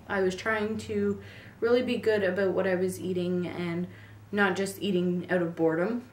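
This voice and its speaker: A woman's voice: mid-range voice, recorded from a distance, slight background noise. Young woman, raw sore throat, chatty, ums, echoey room, mic a few feet off. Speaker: vlogger. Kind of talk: casual pregnancy update vlog.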